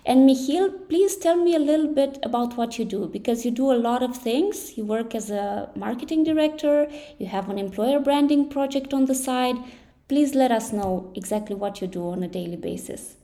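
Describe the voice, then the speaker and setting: Woman, podcast host, higher pitch, mellow tone, friendly encouraging interviewer. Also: lively intonation, moderate pace. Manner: friendly, encouraging, frequent affirmations.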